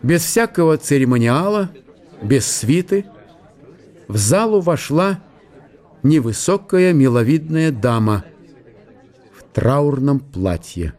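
A man's voice: fairly high pitch, sing-song, takes it slowly, pauses often, darkish tone. A man narrating a historical radio drama in a dramatised literary style.

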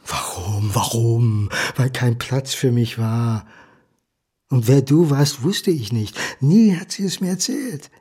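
An actor playing a lonely, abandoned son in a radio play, speaking in halting, broken phrases of hurt and pleading. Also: male voice, mid-range voice, animated, dark tone, breathy edge. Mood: bitter, hurt. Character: wounded, abandoned illegitimate son, lonely grown man, pleading. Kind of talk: dramatic monologue, radio play. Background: music.

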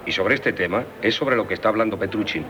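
An actor playing a composed, authoritative lawyer in a 1970s radio drama, measured and formal. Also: male voice, lowish voice, pushed and bright. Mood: matter-of-fact. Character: composed, authoritative male lawyer, calming and matter-of-fact. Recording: 1978 radio recording, faint noise.

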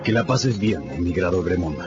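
Slow contemptuous jailer, radio drama, declamatory, dull recording under music. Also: male voice, deep voice, expressive. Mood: cold contempt. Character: hard, contemptuous French revolutionary jailer, sworn to the people.

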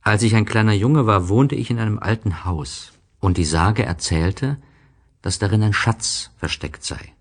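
Male literary narrator: low register, very slow pacing, reflective and measured scripted reading.

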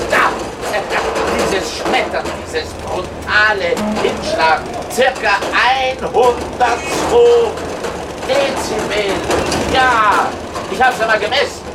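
An exasperated musician under loud noise. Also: male voice, extremely high pitch, recorded from a distance. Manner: emphatic, complaining. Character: exasperated male musician railing against outside noise. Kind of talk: radio-play monologue addressed to the listener.

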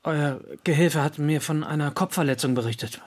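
A man's voice: a little high, normal speed, lightly acted. An actor playing a hot-headed journeyman musician in his twenties in a German radio drama, impassioned and defiant. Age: 20s. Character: hot-headed 26-year-old journeyman trumpeter, passionate and aggrieved.

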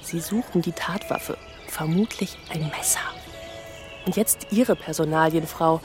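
A woman's voice: low-pitched, measured pace, over music. An actress playing a businesslike policewoman giving out facts in a German radio crime drama. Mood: matter-of-fact. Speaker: supporting actress.

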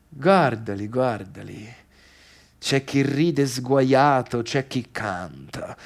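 An actor playing a bitter, sarcastic failed pianist turned music critic in a theatrical radio monologue, complaining colloquially in a Tuscan accent. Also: male voice, fairly high pitch. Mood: irritated, sarcastic. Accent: Tuscan Italian.

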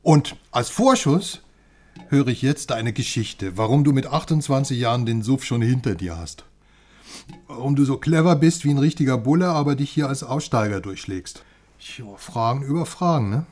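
Husky mid-pitched male buddy, acted by a man, cheeky and talkative, conspiratorial, for a radio crime drama. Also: normal speed, close to an everyday voice. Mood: teasing, conspiratorial. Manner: animated, conspiratorial, teasing. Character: chatty, cheeky male friend, curious outsider in East Germany.

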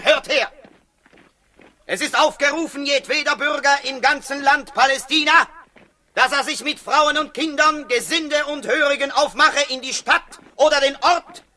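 Very high-pitched, piercing herald. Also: male voice, heavily acted. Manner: loud, formal, stilted proclamation. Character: stern Roman herald proclaiming an imperial census decree. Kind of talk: 1960s German radio drama acting.

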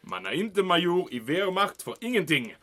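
Blustery german army major, husky n high-ish, super animated, comic radio play. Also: male voice. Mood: proud, grumbling. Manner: theatrical, exclamatory, German interjections. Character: blustering, excitable German army major, self-satisfied and touchy. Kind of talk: comic audio drama acting.

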